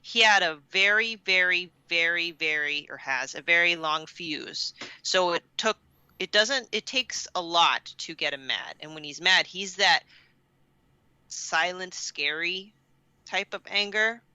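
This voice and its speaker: A woman podcast co-host with a very bright, forceful voice and smooth, resonant tone. She talks candidly and casually, leaning on words for emphasis. The sound is dry and close. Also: lowish voice, a little slow, no room sound, lo-fi sound. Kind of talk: casual personal chat.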